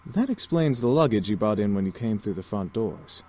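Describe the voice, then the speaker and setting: Low-voiced courteous demon gentleman. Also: lively intonation. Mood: gently inquiring. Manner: formal, courteous, measured. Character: polite, serious, attentive young gentleman demon butler type. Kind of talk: visual novel character acting.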